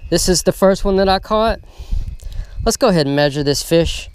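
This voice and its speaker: A man hosting a fishing vlog outdoors, talking to viewers in an excited, casual way as he fishes. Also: sits high, moderate pace. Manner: excited, talks straight to viewers. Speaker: fishing channel host. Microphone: handheld camera mic. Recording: outdoor camera audio, clear background noise.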